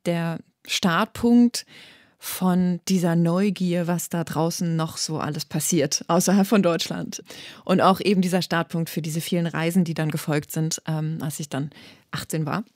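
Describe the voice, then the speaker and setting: A woman's voice: on the low side, darkish tone, dry recording, studio quality. A young woman from eastern Germany, a musician answering interview questions in an earnest, thoughtful way, often restarting her phrases. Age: young adult. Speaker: guest musician.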